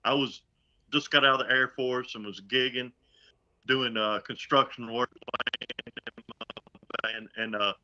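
Older folksy guy on a video call, super bright punchy voice, kinda muffled lo-fi sound. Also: mid-range voice, fairly even intonation, unhurried, pauses often, slight background noise. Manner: easygoing, folksy, chuckling. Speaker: guest, concert road crew member. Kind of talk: casual interview chat. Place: home room. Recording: dull video call audio.

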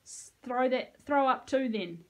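A woman's voice: high-pitched, animated, measured pace, a bit bright, voice pushed up, full character voice. A woman voicing a desperate, threatening eleven-year-old boy in a story, shrieking tearful demands. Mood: furious, demanding.

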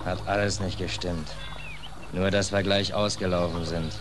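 An actor playing a ragged, weary, sardonic ship's stoker in a 1940s radio drama. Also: male voice, sits low, level tone, moderate pace, natural voice. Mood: weary, wry. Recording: noisy 1940s radio play recording.

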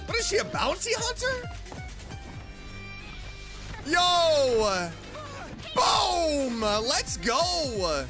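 A reaction streamer gushing over a game trailer with loud exclamations and frequent laughter. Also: sits high, drawn-out words, pauses often, music in the background. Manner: excitable, laughs often, exclaims loudly. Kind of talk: casual reaction commentary.

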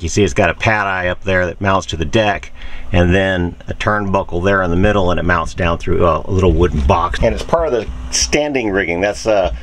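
Low-voiced man giving a casual boat tour. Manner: chatty, pointing things out as he goes. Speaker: sailing vlogger. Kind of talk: casual show-and-tell vlog. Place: aboard a sailboat, cabin and deck. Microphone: handheld camera mic.

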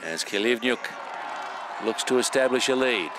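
A man calling a live race in a measured, steady play-by-play manner, pausing on fillers as he tracks the leaders. His voice sits at an ordinary middle pitch. The broadcast carries clear outdoor noise and ambience behind him. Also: crowd noise. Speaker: commentator. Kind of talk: live sports commentary.

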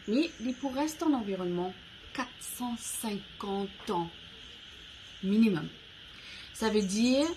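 Slow, deliberate woman speaking outdoors. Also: medium pitch, a little hiss or hum, low-quality recording. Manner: slow and deliberate, with pauses between short phrases; speaking a language that is not her mother tongue, searching for words. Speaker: founder of an eco-products platform giving a message. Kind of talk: prepared short address to camera. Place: covered veranda outdoors, garden greenery behind.

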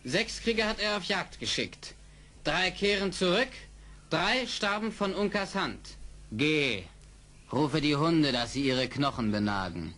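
An actor playing a proud, defiant young Mohican warrior in an audio drama, declaiming formally. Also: male voice, a little high, lively intonation, measured pace, sharp tone, slight background noise. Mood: proud defiance. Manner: declamatory, formal, speaks of himself by name. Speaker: actor in a radio play. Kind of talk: 1970s German audio drama acting.

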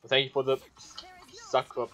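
A man's voice: mid-range voice, monotone, fairly quick, a bit bright, highs cut off, a little hiss or hum. A man giving casual gameplay commentary in brief, offhand remarks and reading out on-screen prompts. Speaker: gaming video creator.

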